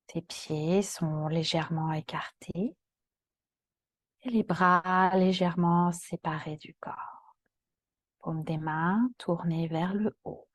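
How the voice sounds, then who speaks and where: A woman yoga teacher guiding a relaxation meditation in a calm, soothing, soft voice that often turns breathy. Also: fairly low pitch, dry recording. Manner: calm, soothing, gentle instructions with long pauses.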